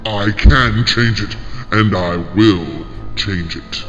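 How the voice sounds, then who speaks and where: An actor playing the last surviving male demon, ruthless and defiant, speaking in a cold, deliberate way in a fan audio drama. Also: on the low side, a bit bright, faint music behind. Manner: cold, deliberate, with gloating laughs. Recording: dull, echoing long reverb.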